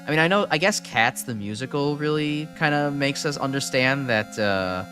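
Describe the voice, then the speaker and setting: A man's voice: medium pitch, animated, moderate pace, over music. A young man from Chicago with a Chicago accent, rambling and correcting himself while chatting on a game stream. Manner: rambling, self-correcting, chuckling. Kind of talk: casual game stream chat.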